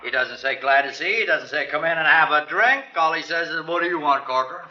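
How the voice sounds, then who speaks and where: Sharp-voiced, taunting newspaper reporter. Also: male voice, a little high, quick pace. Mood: mocking. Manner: mocking, insinuating, chuckling. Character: sly, taunting male newspaper reporter, relishing his scoop. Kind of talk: 1940s radio drama acting. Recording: dull, lo-fi vintage radio recording.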